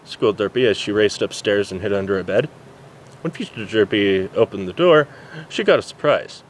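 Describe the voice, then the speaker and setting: A man's voice: lowish voice, moderate pace, a little hiss or hum, rough recording. A man narrating a story as an amateur reader, stumbling over words now and then. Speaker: amateur fan fiction reader. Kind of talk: story narration, reading aloud.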